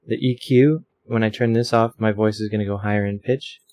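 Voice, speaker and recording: Casting: man, slightly low voice, home desk mic, rambling tutorial delivery full of ums. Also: steady tone, fairly quick, dark tone, no echo. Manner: rambling, self-deprecating, many fillers. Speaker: streamer explaining audio settings. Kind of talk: casual tutorial. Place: home room with a fan running. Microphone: desk microphone.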